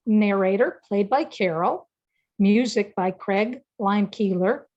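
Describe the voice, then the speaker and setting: A woman's voice: mid-range voice, measured pace. Woman narrator on dull, lo-fi audio. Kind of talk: scripted radio play narration.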